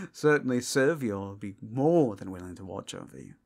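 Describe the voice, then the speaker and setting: Man playing a suave, teasing vampire, lively pitch swings. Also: creaky, heavily acted. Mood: teasing, flirtatious. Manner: playful old-fashioned gentlemanly phrasing, drawn-out delivery. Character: suave flirtatious ageless male vampire, teasing and genteel. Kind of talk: audio roleplay acting.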